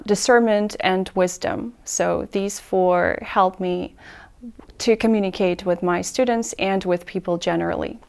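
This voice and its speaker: A woman, an English tutor answering interview questions in a measured way that lays out her points in order. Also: medium pitch, vocal fry, clean tone. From Ukraine.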